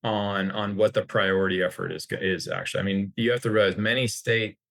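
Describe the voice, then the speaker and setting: A man's voice: fairly low pitch, steady tone, a little fast, creaky, fairly bright tone, no room sound. An American man speaking as an expert guest in an informal discussion, wordy and often rephrasing himself mid-sentence. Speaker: interview guest, healthcare industry executive.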